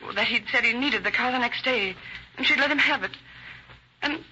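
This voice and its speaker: A woman's voice: on the high side, moderate pace, bright, forceful tone. An actress playing a worried, loyal wife hesitantly giving her statement to police in an old radio drama. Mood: anxious, puzzled. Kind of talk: 1940s radio acting. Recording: muffled, hissy old radio recording.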